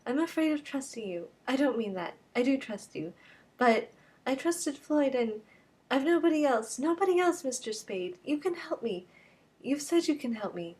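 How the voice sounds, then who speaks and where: A woman's voice: darkish tone, breathy edge. A woman voicing a desperate, theatrical young woman who begs and implores in a dramatic reading of a novel. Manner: breathless, imploring, rising pitch.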